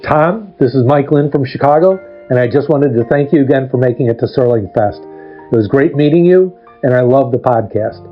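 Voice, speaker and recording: A man's voice: mid-range voice, expressive, normal speed. A man leaving a short, informal thank-you voice message. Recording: slightly dull lo-fi recording. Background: guitar music.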